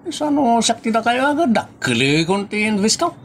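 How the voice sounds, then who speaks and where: A woman with an Ilocano accent playing a pushy, wheedling investment peddler in a comedy audio drama, coaxing and animated. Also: a little high, moderate pace, slight background noise.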